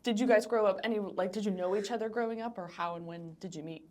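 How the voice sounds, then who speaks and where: A woman asking questions in a casual interview, informal and a little halting as she phrases them. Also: medium pitch, darkish tone. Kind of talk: casual oral history interview.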